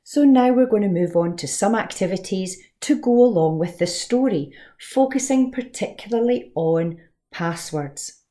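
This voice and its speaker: A woman leads a read-along lesson for young children. Her delivery is slow and very animated in pitch. She explains things simply and expressively, as a teacher would. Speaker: storyteller and teacher reading to children.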